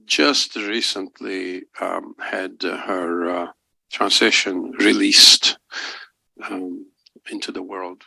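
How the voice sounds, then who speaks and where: Low gravelly guy, super slow and halting, bright edge, introducing panelists. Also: no room sound, poor audio. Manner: halting, many "uh" pauses. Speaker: event introducer. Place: university symposium event.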